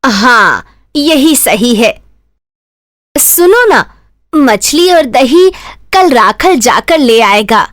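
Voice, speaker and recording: A woman's voice: sits high, big pitch swings, very slow, long pauses, a bit bright, dry recording, studio quality, exaggerated voice. A woman voicing a doting, protective village mother in a Hindi cartoon story, pleading and insistent. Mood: persuading.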